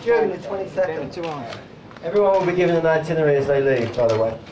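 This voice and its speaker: A man running a press conference, taking questions from reporters in a brisk, wry way. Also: a little high, animated. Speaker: press conference moderator, band member. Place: crowded club venue. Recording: echoey club, distant muffled mic, crowd noise. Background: crowd of reporters.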